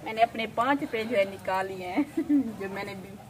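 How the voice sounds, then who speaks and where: A woman's voice: medium pitch, brisk. A young woman from Pakistan chatting casually outdoors beside her husband, answering briefly and laughing. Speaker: host's wife. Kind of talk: casual chat. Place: outdoor village courtyard. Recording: clear background noise outdoors.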